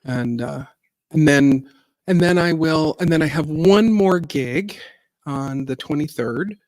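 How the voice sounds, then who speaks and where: A middle-aged woman with a low, deep voice, a singer hosting a casual livestream chat, rambling along with fillers and little laughs. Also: takes it slowly, a little mellow, dry recording. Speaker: singer and gardening channel host.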